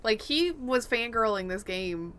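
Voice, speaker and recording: A woman co-hosting a gaming podcast, chatting casually and telling stories in an animated, exasperated way, acting out bits of dialogue. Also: on the high side, unhurried, smooth. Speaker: podcast co-host.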